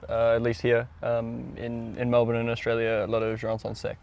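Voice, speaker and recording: Gravelly, even-toned man chatting casually. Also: medium pitch, a little fast. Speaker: podcast co-host sommelier. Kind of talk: casual conversational commentary.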